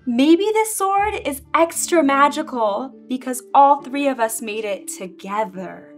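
Woman acting proud encouraging blacksmith, children's medieval fantasy play, high animated voice, reading aloud, faint music. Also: unhurried. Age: young adult. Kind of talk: readers' theater, reading from script. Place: indoor stage set with stone-wall backdrop.

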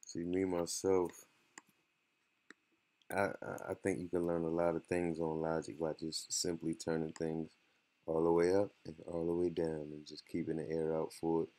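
A man giving a casual software tutorial in a laid-back, slangy way. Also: a bass voice, completely flat delivery, moderate pace, dry recording. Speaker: music production tutorial host.

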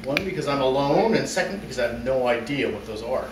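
A man in his thirties giving a mock-serious dramatic reading in a deliberate, theatrical manner with long pauses, in a living room. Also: mid-range voice, expressive. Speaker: talent show performer. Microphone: far camera. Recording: distant camera, roomy echo, noisy background.

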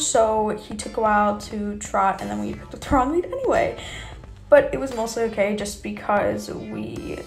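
A young woman talks through her vlog in a casual, informal way. Her voice sits a little high, and she hedges as she chats. Light music plays in the background. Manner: chatty, informal, hedging with kinda and you know. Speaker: horse rider and vlogger.

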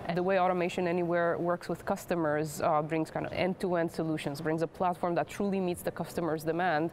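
A woman's voice: on the low side, steady tone, a little fast, darkish tone, slight background noise. A woman in her thirties from Romania, a product manager speaking in an articulate, upbeat way in a corporate interview, recorded on a lapel mic in a large atrium. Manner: articulate, upbeat, frequent tag questions. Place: large modern atrium lobby with plants.

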